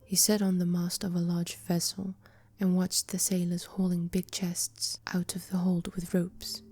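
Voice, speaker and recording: A woman whispering a fairy tale aloud, in a slow, even storytelling manner. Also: fairly low pitch, husky. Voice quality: whispering throughout. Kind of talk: whispered bedtime story reading.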